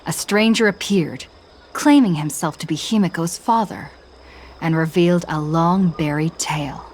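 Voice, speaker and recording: A woman voicing a young female traveler in a game, narrating a scripted story recap with a measured, even delivery. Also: low-pitched, a little hiss or hum, close to an everyday voice. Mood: calm. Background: faint background music.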